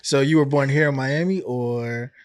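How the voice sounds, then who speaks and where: A man's voice: medium pitch, moderate pace, no room sound. A man hosting a casual interview, laid-back, nudging the talk along with short "okay"s and "mm"s. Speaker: podcast host.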